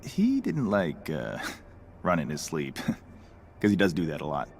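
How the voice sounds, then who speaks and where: A man's voice: extremely low pitch, huge pitch swings, measured pace, creaky, a little hiss or hum, full character voice. A man playing a casual, easygoing superhero in a video game, offhand and slightly hesitant. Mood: amused, sheepish.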